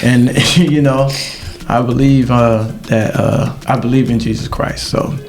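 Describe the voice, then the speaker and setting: A middle-aged man hosting a podcast, talking in a halting, reflective way with many ums and uhs. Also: medium pitch, steady tone, creaky. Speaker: podcast host. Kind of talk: casual podcast chat.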